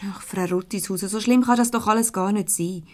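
An actress playing a loyal, exasperated office secretary in a radio comedy, brisk and defensive, with a Swiss German accent. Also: female voice, mid-range voice, noisy background, natural voice.

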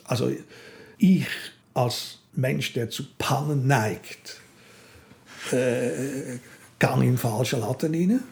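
A man's voice: mid-range voice, slow, vocal fry, darkish tone. An older man with a Swiss German accent, talking in a reflective, philosophical way in casual conversation as an interview guest. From Switzerland. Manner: philosophical, musing.

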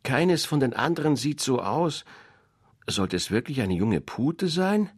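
A fussy, proud mother duck, voiced by a man reading a storybook, fretting and bossing her brood in a shrill, lifted voice. The voice is a little husky, swinging up and down, and the recording is dry and close. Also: lively intonation, unhurried, no room sound. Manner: shrill, scolding, bossy.

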